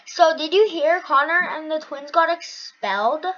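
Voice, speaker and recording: A woman's voice: sits high, moderate pace, a bit bright. A woman acting out the characters of an amateur anime-style story in dramatized dialogue. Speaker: amateur voice actor for a fan-made anime story video. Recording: muffled lo-fi recording.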